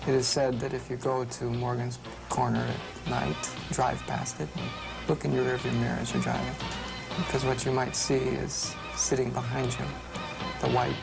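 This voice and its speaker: A man with a creaky voice recounting ghost stories as a researcher. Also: medium pitch, level tone, moderate pace, poor audio. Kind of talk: ghost storytelling interview. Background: loud music.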